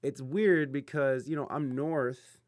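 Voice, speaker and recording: A man's voice: mid-range voice, steady tone, slow. A middle-aged man from Ohio hosting a casual interview podcast, talking in a rambling way with many restarts and fillers. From Ohio, USA. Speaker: podcast host.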